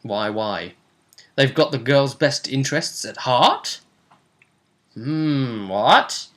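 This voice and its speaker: A man's voice: medium pitch, sing-song, slow, fairly bright tone. A man acting as game master, chattily recapping a tabletop role-playing session in an informal, rambling way. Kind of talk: casual conversational recap.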